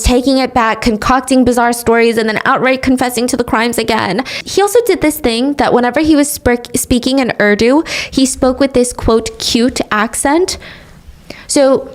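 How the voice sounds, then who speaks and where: A woman hosts a true crime podcast, walking through the case in a lively, chatty way. Her pitch sits a little high, and she drops in dry, sarcastic asides. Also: moderate pace. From grew up speaking English, also Korean. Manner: sarcastic asides, animated retelling. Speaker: podcast host. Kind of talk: true crime storytelling chat.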